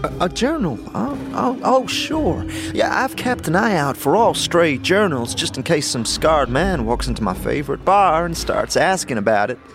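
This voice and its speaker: A man's voice: on the high side, big pitch swings, moderate pace, voice pushed up. A man voicing a chatty, sarcastic sidekick who feels left out, in a flippant audio drama style. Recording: music behind the voice.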